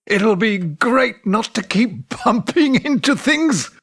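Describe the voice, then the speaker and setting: A bookish, wistful man lost in memories of old loves. His voice sits high and swings expressively, with slow, carefully worded phrasing. It is audio drama acting, formal and a little hesitant. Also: big pitch swings, fairly bright tone, no room sound, full character voice. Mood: wistful, querulous. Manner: articulate, wordy, formal and hesitant. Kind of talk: audio drama acting, dialogue and reflective monologue.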